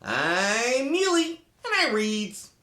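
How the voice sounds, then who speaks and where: Woman's voice playing cheerful folksy mule, very animated swooping pitch, slow sing-song storybook reading. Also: crowd noise. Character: Muley, a cheerful folksy mule who reads books. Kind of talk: children's storybook read-aloud.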